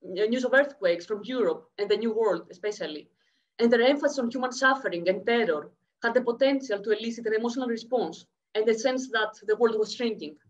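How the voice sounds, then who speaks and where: A woman in her thirties with a Greek accent, from Greece, reading a conference paper quickly over a video call. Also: on the high side. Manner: fast-talking, checks in with the audience. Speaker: academic speaker. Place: home room, indoors. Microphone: laptop webcam mic, video call. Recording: muffled lo-fi video call audio.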